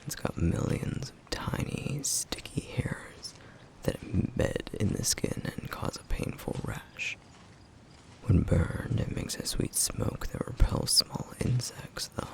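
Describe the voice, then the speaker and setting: Man as stern caring flame demon, ASMR roleplay, breathy whisper, slow formal delivery, protective. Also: deep voice, wildly swooping pitch, exaggerated voice. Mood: calm, instructive. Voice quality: hushed, breathy, gravelly whisper. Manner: measured, formal, slightly old-fashioned phrasing.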